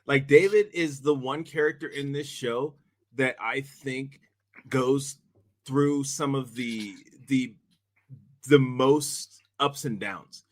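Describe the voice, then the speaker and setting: A man in a casual podcast chat, haltingly building his points with emphatic repetition. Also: mid-range voice.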